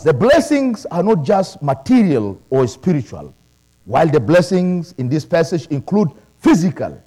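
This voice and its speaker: A middle-aged man who learned English later, preaching a sermon with animated calls of praise. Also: on the high side. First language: not English. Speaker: pastor.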